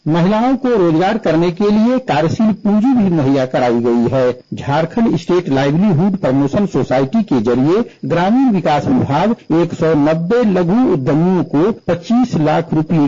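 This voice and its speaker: A man's voice: a little high, dark tone. A man delivering a scripted regional news report as a radio correspondent, in a formal reporting style. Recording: dull, muffled radio report audio.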